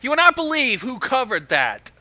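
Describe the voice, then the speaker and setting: A man in casual, joking livestream chat. His voice sits high for a man and is extremely bright and forceful, like a commentator chiming in on someone else's reading. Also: wildly swooping pitch, moderate pace, highs cut off.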